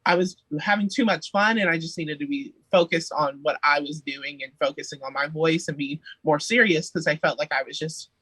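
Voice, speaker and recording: Playful husky-voiced young man. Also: fairly high pitch, fairly quick, hardly any pauses, pushed and bright, no echo, low-quality recording. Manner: playful, chatty, laughs often. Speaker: podcast guest, singer. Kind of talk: casual interview chat.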